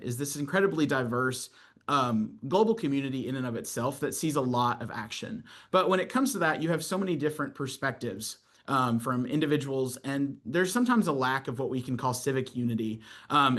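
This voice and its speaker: A man's voice: medium pitch, fairly bright tone. A young man from Kentucky, a student fellow giving an earnest presentation on a video call with frequent ums. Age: young adult. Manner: earnest, many filler ums. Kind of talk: online panel presentation.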